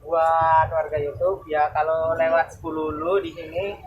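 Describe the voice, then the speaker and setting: Soft-spoken man at a market stall. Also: fairly high pitch, level tone, moderate pace, muffled recording, a little hiss or hum. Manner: short, soft-spoken replies. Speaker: kebab vendor. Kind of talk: interview answers.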